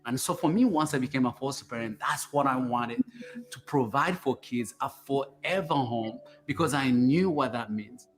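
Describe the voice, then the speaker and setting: Man, earnest, reflective, heartfelt testimony, mid pitch, faint music underneath. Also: normal speed. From Uganda. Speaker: foster and adoptive father. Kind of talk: personal testimony in a documentary clip.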